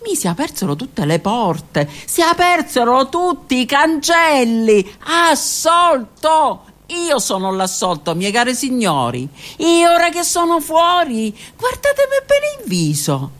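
A woman's voice: high-pitched, sing-song, measured pace, hardly any pauses, a bit bright, pitched above the performer's own voice, heavily acted. A woman voicing a brash male prisoner who wants to be an actor, reciting a poem with big emphatic stresses. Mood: declaiming. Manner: declaiming poetry with emphatic dramatic stresses.

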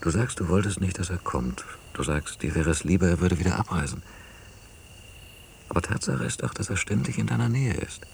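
Very deep, creaky-voiced holidaymaker. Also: male voice, expressive, brisk, a little hiss or hum. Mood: probing, puzzled. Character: probing, teasing man on holiday with friends. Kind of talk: radio drama dialogue. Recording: 1990 radio drama, faint music.

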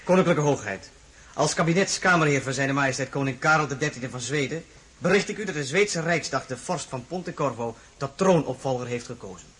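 An actor playing a ceremonious Swedish royal chamberlain in a radio play, making formal, stately announcements. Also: male voice, mid-range voice, brisk, fairly bright tone, natural voice.

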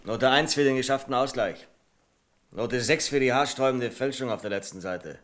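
Male actor as a senior bank clerk, radio drama, mid-pitched, measured, dry, sardonic. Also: fairly bright tone, close to an everyday voice. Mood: dryly sarcastic. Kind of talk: German radio play acting.

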